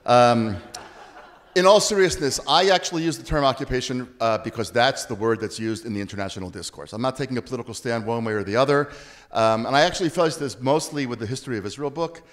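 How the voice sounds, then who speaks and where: A man's voice: mid-range voice, fast. A man from the United States speaks on a discussion panel in a mid-range voice. He answers at length and in earnest, slipping in dry, self-deprecating asides. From Baltimore, USA.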